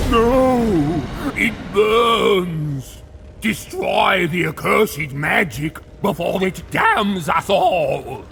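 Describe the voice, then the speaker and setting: An actor playing a proud, defiant warlord devoted to a plague god in a video game, declaiming in a grandiose, deliberate way with a gritty voice. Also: male voice, sits high, gravelly, sharp tone, a little hiss or hum, full character voice. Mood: fierce battle fury. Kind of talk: video game cutscene acting. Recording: game dialogue over musical score.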